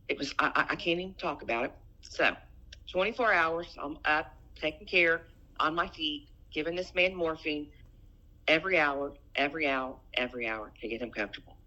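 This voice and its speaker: A woman's voice: low voice, moderate pace, bright, forceful tone, dull audio. A middle-aged woman in a personal phone argument, speaking insistently and repeating her phrases. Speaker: private caller in a family dispute.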